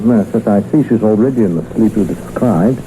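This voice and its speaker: Man acting halting surgeon, very muffled. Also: lowish voice, dark tone, close to an everyday voice. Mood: hesitant, uneasy. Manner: hesitant, halting, stammering. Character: eminent English surgeon, hesitant, uneasy gentleman. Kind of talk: radio mystery drama acting. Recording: noisy, very muffled old radio recording.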